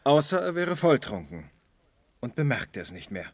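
An actor playing a businesslike, pressing police lieutenant in a 1970s radio crime play. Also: male voice, mid-range voice, very animated, normal speed, dry recording. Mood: pointed. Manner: matter-of-fact, pressing. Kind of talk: 1970s German radio crime drama acting.

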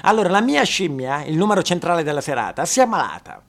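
Man putting on a gruff circus director. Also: a little high, very animated, fast talker, a bit bright. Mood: bossy. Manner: brusque, dismissive, barking orders.

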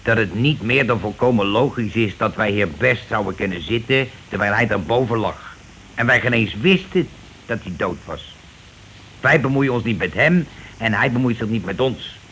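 An actor playing a coarse, cocksure scheming husband in a radio play, talking insistently and impatiently in colloquial speech. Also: male voice, fairly low pitch, normal speed, fairly bright tone. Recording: noisy old radio drama recording.

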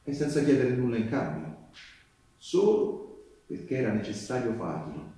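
An actor playing a male wartime partisan in a theatrical monologue, speaking intimately and haltingly to a loved one, with dramatic pauses. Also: medium pitch, very animated, dark tone. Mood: fond, wistful. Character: male wartime partisan tenderly recalling youth in the mountains. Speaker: stage actor performing a monologue. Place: live stage performance, recorded from a distance. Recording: distant microphone in a very echoey room.

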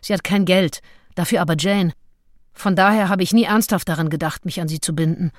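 A woman's voice: fairly low pitch, fairly quick, dry recording, studio quality, close to an everyday voice. An actress playing a scheming, self-aware nineteenth-century female seducer in a confessional, theatrical radio-drama monologue. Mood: cool, calculating. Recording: music behind the voice.

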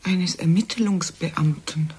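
A composed, middle-aged professional woman in a naturalistic radio play. Her voice sits a little low and is strikingly husky and breathy. She speaks with restraint, halting and close to whispering. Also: darkish tone, heavily acted. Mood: stunned, whispering. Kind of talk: German radio drama acting, naturalistic dialogue.

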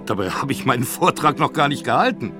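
A man's voice: medium pitch, expressive, fast, over music, close to an everyday voice. An actor playing a sceptical, formal academic man with a dry, wordy manner in a German radio play. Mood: wry. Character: sceptical, correct academic man, reluctantly gripped.

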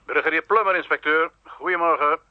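A dull, dry telephone sound carries a brisk police sergeant. His voice is fairly high, and he reports dutifully and matter-of-factly, in radio drama style. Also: no room sound. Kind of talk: radio play acting.

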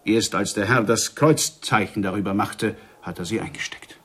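A man's voice: on the low side, moderate pace, husky. An actor playing a village man who earnestly relays news as a storyteller in a radio play. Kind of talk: German radio play acting.

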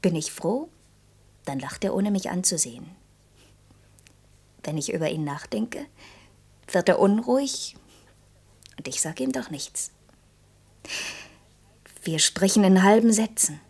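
A woman's voice: lowish voice, expressive, measured pace, long pauses, husky. A young actress playing a proud, outspoken young working woman in an intimate German radio drama, earnest and reproachful. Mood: tender, hushed. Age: young adult. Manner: earnest, reproachful, shifting between insistent outbursts and hushed confiding.

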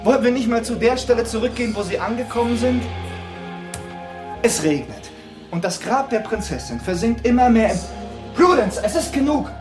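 A woman's voice: on the high side, animated, normal speed, lightly acted. Actress as suspicious female boss, radio drama: volatile, widely swooping intonation, scolding and confiding, music behind. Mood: reproachful. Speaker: actor in a radio play. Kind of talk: dramatic German radio play monologue.

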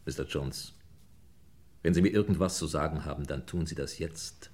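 A man's voice: extremely low pitch, moderate pace, darkish tone. A man playing a shrewd, impatient police inspector in a mid-century German radio crime drama, probing and commanding. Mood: probing, pressing.

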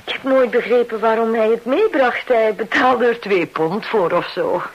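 A woman's voice: fairly high pitch, normal speed, muffled recording, natural voice. A woman playing a polite, matter-of-fact widow in a radio play. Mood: reminiscing. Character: polite, matter-of-fact widow Missus Barclay showing paintings. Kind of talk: Dutch-language radio play acting. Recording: hissy, noisy old radio recording.

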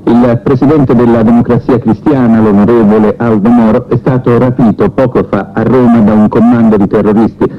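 A man's voice: mid-range voice, fairly even intonation, brisk, few pauses. A man announcing breaking radio news in a formal, grave and emphatic manner. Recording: dull archive radio broadcast.

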